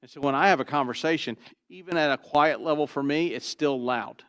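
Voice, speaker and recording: Booming preacher, dry close sound. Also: male voice, a little fast, no echo. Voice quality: booming trained voice. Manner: emphatic, swinging from loud to quiet, repeats "Why?". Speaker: pastor. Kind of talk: expository sermon. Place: church sanctuary.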